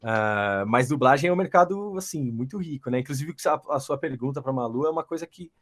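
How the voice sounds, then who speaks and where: A man's voice: mid-range voice, lively intonation, fairly quick. A Brazilian man, a professional voice-over artist, chatting casually about his experience and repeating words as he goes. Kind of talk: casual chat, telling his own experience.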